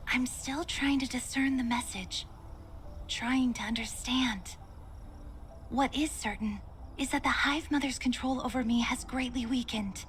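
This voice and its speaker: Gentle troubled female doctor in a game: higher voice, breathy, hesitant, faint noise behind. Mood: troubled, pensive. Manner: soft, breathy, hesitant and thoughtful. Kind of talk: scripted video game dialogue.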